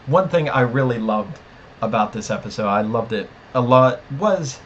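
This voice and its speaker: A man's voice: mid-range voice, lively intonation, normal speed, muffled recording, a little hiss or hum. A man co-hosting a casual TV review podcast, speaking emphatically and repeating words for stress. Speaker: podcast co-host discussing a TV series.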